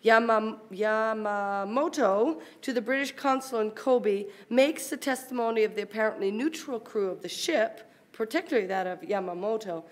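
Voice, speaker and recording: Higher-voiced woman reading an academic paper. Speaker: conference speaker reading a paper. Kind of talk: formal academic paper read aloud. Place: university conference talk.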